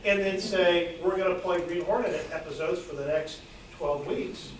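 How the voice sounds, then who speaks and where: Distant, echoey man, higher-pitched. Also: normal speed. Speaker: audience member offering suggestions. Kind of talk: informal meeting discussion. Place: club meeting room, far from mic. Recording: very echoey room, distant mic, noisy.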